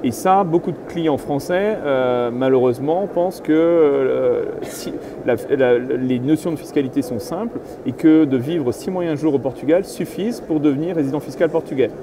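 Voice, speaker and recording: Middle-aged man interviewed over clear background noise. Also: fairly high pitch, moderate pace, few pauses. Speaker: real estate agent and consultant being interviewed. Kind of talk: spontaneous interview answers.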